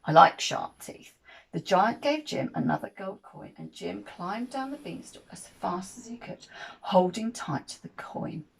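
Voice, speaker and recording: A woman reading a children's picture book aloud as an expressive storyteller. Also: medium pitch, a little slow, gravelly, breathy edge, rough recording.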